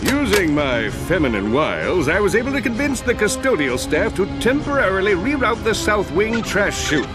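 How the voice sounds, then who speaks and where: Boastful, animated cartoonish space hero. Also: male voice, high voice, moderate pace, few pauses, music in the background. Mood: self-important. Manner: self-important, hammy. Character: boastful, goofy, vain male space-hero sidekick schemer.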